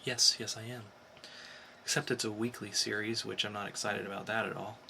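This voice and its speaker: A man's voice: fairly low pitch, little pitch movement, a little fast, vocal fry, bright, forceful tone, a little hiss or hum. A man co-hosting a podcast, chatting casually and enthusiastically about entertainment news in an informal fan's way. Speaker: podcast co-host.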